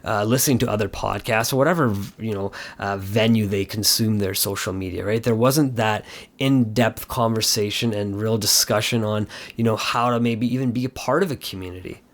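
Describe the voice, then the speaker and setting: A man with a fairly low voice talks as a podcast co-host. His delivery is casual and earnest, rambling a little as he searches for the next phrase. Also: brisk. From Canada.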